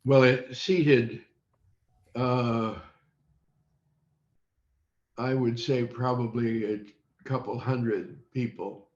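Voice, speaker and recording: An older man from the American Midwest reminiscing in an interview, halting and pausing often mid-sentence. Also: medium pitch, fairly even intonation, darkish tone. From United States, Midwest. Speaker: interview guest recalling radio childhood. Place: indoor room on a video call. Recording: roomy, dull video-call audio.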